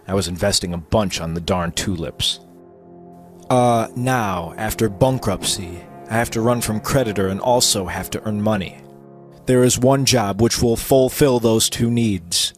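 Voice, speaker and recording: A man narrating an amateur pirate story as a theatrical dramatic reading. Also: on the low side. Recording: music playing behind the voice. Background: music.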